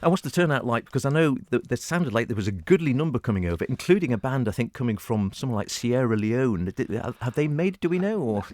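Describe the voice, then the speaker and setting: A man's voice: mid-range voice, expressive, fast, few pauses, a little mellow, no room sound. A man hosting a radio arts programme in a conversational presenting and interviewing style. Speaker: radio arts show host.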